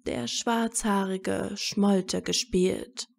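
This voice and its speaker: A woman reading scripted romantic fiction as a narrator in soft, measured storytelling. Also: mid-range voice, fairly even intonation, gravelly.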